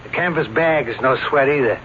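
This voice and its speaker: An actor playing a brash, cocky escape artist who talks in streetwise slang, in a vintage radio drama. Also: male voice, medium pitch, fairly quick, natural voice. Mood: cocky, scheming. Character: brash, boastful male escape artist and showman, married. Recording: dull, noisy old radio drama recording.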